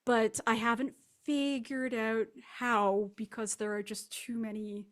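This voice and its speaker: A woman's voice: fairly high pitch, flat delivery, a little slow, a bit bright. A woman speaking casually as a guest author in an interview, rambling and self-deprecating. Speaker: guest fiction writer.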